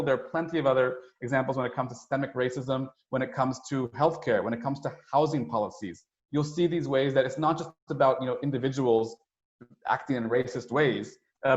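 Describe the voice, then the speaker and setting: A man giving an explanatory, conversational slide presentation as a webinar speaker, with a halting delivery full of hesitations and asides. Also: medium pitch. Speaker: United Nations staff speaker presenting a webinar. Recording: roomy, dull webinar audio.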